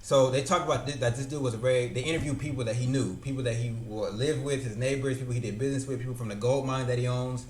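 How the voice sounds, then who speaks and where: A man's voice: medium pitch, fairly even intonation, quick pace, few pauses, a little mellow. Filler-heavy man in echoey room. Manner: loose, filler-heavy, repeats himself. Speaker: vlogger. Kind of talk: casual explainer commentary. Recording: echoey room with faint ambience.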